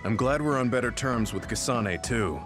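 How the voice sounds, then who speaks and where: A man playing a mature, protective soldier in a video game, calm and steady. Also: mid-range voice, moderate pace, natural voice. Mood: concerned. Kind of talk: video game cutscene acting. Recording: clear music behind the voice.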